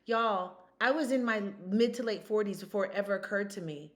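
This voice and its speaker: A woman's voice: medium pitch, brisk. A woman in her fifties speaking as a podcast guest, emphatic and storytelling, full of rhetorical questions. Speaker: guest, consultant. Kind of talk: podcast conversation.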